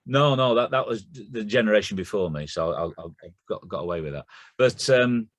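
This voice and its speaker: A man's voice: on the low side, a little fast, dry recording. A middle-aged man with a Lancashire accent, chatting about his life in a casual, storytelling way. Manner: chatty, anecdotal. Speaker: guest poet. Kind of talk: casual conversation.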